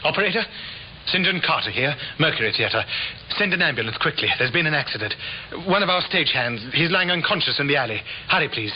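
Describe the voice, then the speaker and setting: A man's voice: on the high side, lively intonation, quick pace, lightly acted. An actor playing an anxious, evasive leading man in a 1950s radio crime drama, urgent, clipped and nervously insistent. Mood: urgent, uneasy. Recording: muffled, noisy 1950s radio recording.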